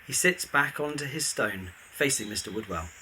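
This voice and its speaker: A man's voice: mid-range voice, lively intonation, normal speed, pushed and bright, slight background noise, low-quality recording. A man narrating a scripted audio drama in the present tense, describing scenes and actions.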